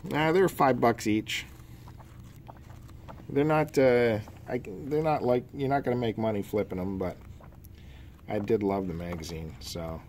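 A man's voice: lowish voice, measured pace, long pauses, a little mellow. Man chatting casually over noticeable background noise. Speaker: podcast co-host showing collection pickups. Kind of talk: casual chat, showing off purchases. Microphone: handheld phone camera mic. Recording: noticeable background noise, amateur phone video.

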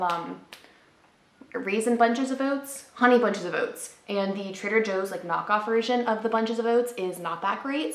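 A woman's voice: mid-range voice, a bit bright, reverberant. A woman in her twenties chatting casually to camera in her living room, upbeat and full of "like" fillers, showing off groceries. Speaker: lifestyle vlogger. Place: living room at home, indoors.

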